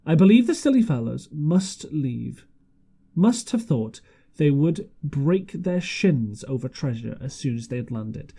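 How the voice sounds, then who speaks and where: A man's voice: on the high side, very animated, unhurried, warm tone, slightly rough. A man reading a storybook aloud as an amateur audiobook narrator, occasionally stumbling and rereading phrases. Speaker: audiobook reader.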